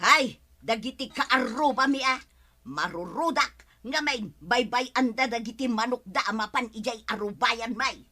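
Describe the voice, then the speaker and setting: A woman's voice: fairly high pitch, measured pace. An actress playing a quarrelsome older village woman who scolds and nags in an Ilocano Filipino accent, in a radio comedy drama. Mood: irritated scolding. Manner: scolding, loud, nagging.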